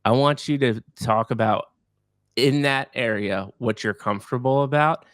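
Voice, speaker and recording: A man in his forties hosting a podcast, energetic and effusive, often repeating words as he gets excited. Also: medium pitch, moderate pace, no room sound. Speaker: podcast host. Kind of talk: enthusiastic podcast interviewing.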